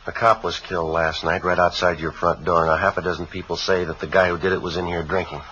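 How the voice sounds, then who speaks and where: A calm American police sergeant from 1950s radio drama. The voice is extremely low with a firm, bright edge. Delivery is clipped, deadpan and factual, on a dull old broadcast recording. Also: male voice, quick pace, slight background noise. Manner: deadpan, terse, matter-of-fact. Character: calm, businesslike male police sergeant narrating his case.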